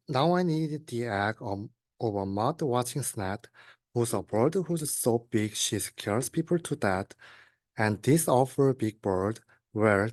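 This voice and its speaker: A man's voice: medium pitch, no room sound. A young man, a student, reading a rhyming children's story aloud, hesitating and repeating words. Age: young adult. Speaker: student reader.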